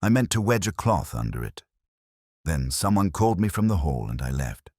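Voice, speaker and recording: A man narrating plays a guilt-ridden parish churchman from a mystery audiobook. He is earnest and pleading, with halting confessions. The voice is extremely low and husky, recorded very dry. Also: very animated, darkish tone, dry recording. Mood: remorseful. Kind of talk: dramatised audiobook dialogue.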